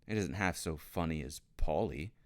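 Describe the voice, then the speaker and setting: A man's voice: a bass voice, moderate pace. A man voicing a miserable, defiant young boy in a storybook, with a plaintive, insistent manner. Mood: argumentative. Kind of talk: storybook character reading.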